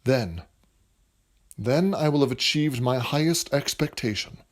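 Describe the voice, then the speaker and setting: A man playing a doleful, self-doubting gentleman playwright in an audio drama, with formal, measured period speech. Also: mid-range voice, close to an everyday voice. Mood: doleful, earnest.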